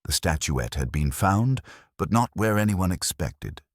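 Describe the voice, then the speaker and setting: A man narrating a scripted mystery story in a measured, formal manner, as in an audiobook. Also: sits low, sing-song, dry recording, clean studio sound.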